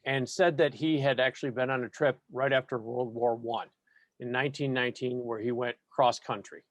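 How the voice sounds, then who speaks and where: A man's voice: mid-range voice, fairly even intonation, moderate pace, no room sound. A man attending a webinar tells a long anecdote before asking his question over a video call. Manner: rambling, anecdotal. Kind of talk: question from the audience.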